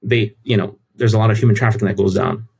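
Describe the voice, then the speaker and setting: Low-voiced man. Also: fairly even intonation, fast talker, vocal fry, a little mellow, slightly rough. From United States. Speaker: interview guest, tech company CEO. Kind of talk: casual conversational interview.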